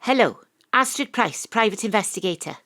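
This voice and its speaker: An actress playing a wry, world-weary Welsh private investigator, narrating and talking in an audio drama. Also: female voice, mid-range voice, animated, brisk, noisy background, natural voice. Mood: brisk. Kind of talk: first-person audio drama narration and dialogue.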